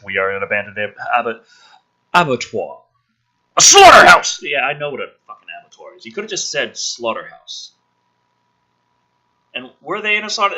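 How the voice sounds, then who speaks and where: A man reading a film script dramatically and breaking off for sarcastic, profane commentary, with a rough-edged voice. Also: mid-range voice, bright, forceful tone, rough recording.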